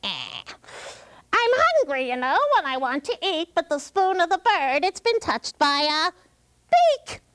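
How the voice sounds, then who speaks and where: A cackling, greedy old witch, played by a woman. She drags out her words slowly and creepily in a high voice. It is a wicked storybook villain. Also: a bit bright, heavily acted.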